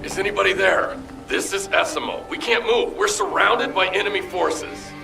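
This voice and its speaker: An actor playing a gruff, battle-worn squad leader in a game, blunt and intense, with a gravelly, raspy voice. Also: male voice, sits high, moderate pace, over music. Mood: desperate, pleading. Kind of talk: scripted game dialogue.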